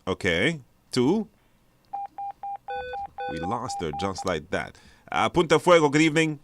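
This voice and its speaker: A man's voice: medium pitch, very animated, measured pace, noisy background. A man hosting a live radio show in a conversational way, repeating phrases for emphasis.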